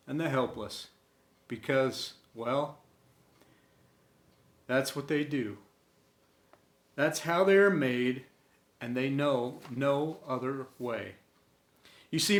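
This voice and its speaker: A man reading a scripted inspirational tribute in an earnest, sentimental way, with deliberate dramatic pauses between short phrases. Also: mid-range voice. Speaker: storyteller and host of an inspirational series. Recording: roomy, echoey sound.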